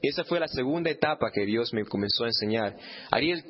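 Mid-pitched man telling a testimony, muffled sound. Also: expressive, brisk. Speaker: church testimony speaker. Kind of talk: personal testimony, humorous storytelling.

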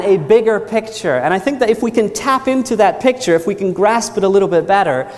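A man preaching a sermon in an earnest manner, often repeating his phrases and asking the congregation "Amen?". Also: high voice. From New Zealand. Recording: long hall reverb.

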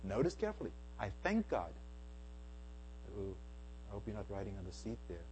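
A middle-aged man from South Africa giving an emphatic church talk, swinging between hushed and loud. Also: fairly low pitch, big pitch swings, fairly quick, slight background noise, low-quality recording. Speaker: speaker at a Bible Q and A. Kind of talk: lecture-style church talk.

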